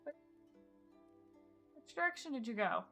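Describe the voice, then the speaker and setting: A woman with a slightly high voice chats casually through her gameplay as a streamer. Game music plays clearly behind her. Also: sing-song, unhurried, a bit bright. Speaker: game livestreamer. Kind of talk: casual live gameplay commentary.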